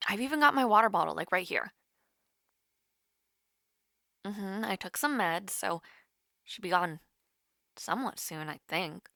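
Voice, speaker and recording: Animated, awkward young woman, voiced by an actress. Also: mid-range voice, moderate pace, creaky, dry recording, clean studio sound. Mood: flustered, chatty. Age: young adult. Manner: awkward, rambling, hesitant with many fillers. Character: nervous, rambling young woman student on a call with her online crush. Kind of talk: naturalistic romantic audio roleplay, casual one-sided call.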